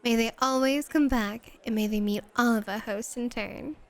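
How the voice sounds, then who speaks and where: Woman doing a confident flirty bunny hostess for asmr rp, teasing and in charge, voice a bit high. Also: normal speed, natural voice. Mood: relaxed, gracious. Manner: teasing, self-assured, courteous to guests. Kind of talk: ASMR roleplay acting.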